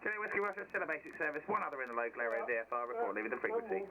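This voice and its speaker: Guy in the tower on the radio, talks super fast and clipped, distant transmission. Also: on the high side, big pitch swings, highs cut off, noisy background. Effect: aviation radio. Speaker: air traffic radio operator.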